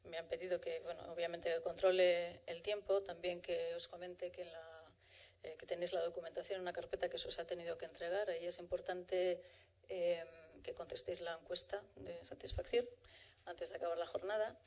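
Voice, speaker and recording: A woman moderating a conference, introducing the day and its first speaker in a measured way with frequent restarts. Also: fairly low pitch, level tone, a little mellow. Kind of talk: formal event introduction.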